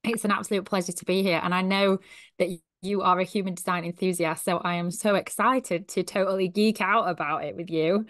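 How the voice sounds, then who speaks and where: A woman's voice: fairly low pitch, fairly quick. A woman in her thirties from Sheffield with a Yorkshire accent, chatting animatedly as a guest on a video call from a small home office. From Sheffield, South Yorkshire, England. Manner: chatty, animated, gestures with her hands as she talks. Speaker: guest, human design coach. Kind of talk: casual interview chat. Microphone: headphones on a video call.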